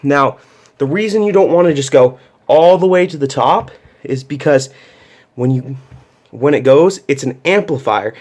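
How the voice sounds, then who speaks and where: A man's voice: a little high, lively intonation, normal speed, darkish tone. A man giving a casual, unscripted hands-on tech tutorial, explaining step by step and often repeating himself. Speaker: tutorial presenter.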